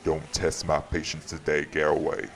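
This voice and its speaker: Man playing a very deep, gravelly Southern vampire. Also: monotone, normal speed. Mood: stern warning. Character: weary, courtly male vampire host, protective and firm. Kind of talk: audio roleplay drama acting.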